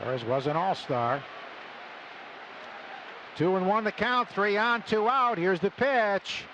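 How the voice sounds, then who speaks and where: Higher-voiced baseball announcer, man, noisy broadcast. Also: animated, takes it slowly, highs cut off. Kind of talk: live radio sports commentary with sponsor reads. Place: stadium broadcast booth. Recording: noisy live ballpark radio broadcast.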